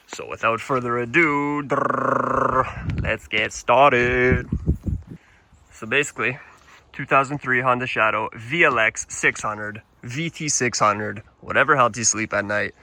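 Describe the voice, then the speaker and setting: A man's voice: medium pitch, bright, forceful tone, slight background noise. Casual, swearing Canadian guy. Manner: casual and profane, rambling with restarts. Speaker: motorcycle vlogger. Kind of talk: casual walk-around vlog.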